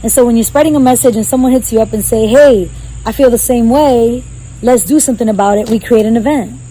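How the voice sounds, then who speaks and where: A young woman talks in an animated, slangy stream, loud and unfiltered. Her voice is mellow and warm rather than bright. Faint crickets and outdoor ambience sit behind her. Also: fairly high pitch, quick pace, slight background noise, poor audio. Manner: loud, animated, slangy, full of "you know what I'm saying". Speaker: podcast guest and activist. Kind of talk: casual conversational interview.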